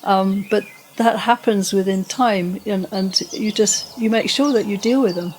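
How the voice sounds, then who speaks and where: A woman's voice: medium pitch, a little slow, a little hiss or hum. Older woman telling her story in an interview. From Tanzania. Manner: rambling, warm recollection with frequent restarts and soft laughs. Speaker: podcast guest. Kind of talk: relaxed conversational storytelling.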